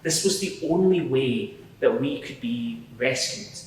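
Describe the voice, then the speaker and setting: In a big reverberant hall, heard from a distance, a man plays an earnest converted Pharisee on the witness stand. He explains his change of heart in a halting, sincere way, at a middling pitch. It is live courtroom drama with faint noise. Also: lively intonation, darkish tone, natural voice. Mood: earnest, reflective. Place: large reverberant courtroom before a live gathering.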